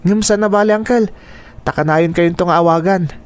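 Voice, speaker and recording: A man's voice: sits high, moderate pace, smooth, close to an everyday voice. A man playing a caring young nephew in a radio drama, earnest, concerned and respectful.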